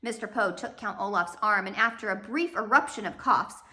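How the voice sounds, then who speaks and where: A woman narrating a children's storybook aloud in an expressive, scripted reading style. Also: mid-range voice, moderate pace. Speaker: audiobook narrator.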